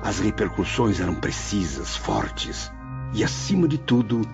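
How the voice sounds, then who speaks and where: A man's voice: fairly low pitch, wildly swooping pitch, measured pace, vocal fry, over music, exaggerated voice. A man narrating a radio drama in solemn, literary phrases. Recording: muffled radio recording.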